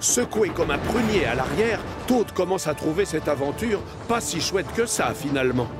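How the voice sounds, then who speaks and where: A man's voice: on the high side, sing-song, normal speed. A man with a standard French accent narrating a children's cartoon in a scripted storytelling style. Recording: music behind the voice.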